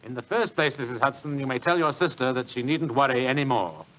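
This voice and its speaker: An actor playing a courteous, assured British government gentleman in measured 1940s radio style. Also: medium pitch, animated, lightly acted. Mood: genial, reassuring. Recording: noisy, clicking 1940s radio recording.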